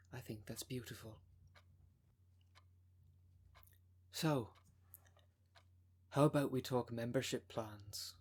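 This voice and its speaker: A man playing a smooth-talking, con-artist female minister of a demon cult in an ASMR roleplay, with a polished, unctuous sales manner. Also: mid-range voice. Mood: persuasive. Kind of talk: ASMR roleplay audio drama.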